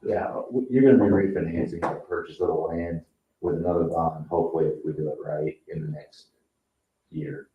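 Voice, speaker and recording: Very deep, flat-toned man. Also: brisk, a little hiss or hum. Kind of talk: informal explanation in a public meeting. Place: indoor municipal meeting room. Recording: echoey room, dull muffled sound.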